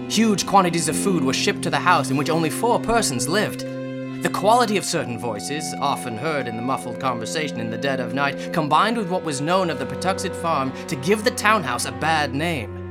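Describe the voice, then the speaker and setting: A man playing an investigator who soberly narrates his findings in measured, scripted radio drama style. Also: a little high, expressive, close to an everyday voice. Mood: sober, intriguing. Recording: loud plucked guitar music behind.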